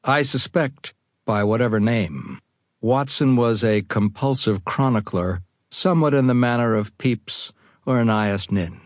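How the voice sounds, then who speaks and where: A man's voice: medium pitch, unhurried, dry recording. A man with an American accent narrating a first-person account for an audiobook, as an author reading his own writing.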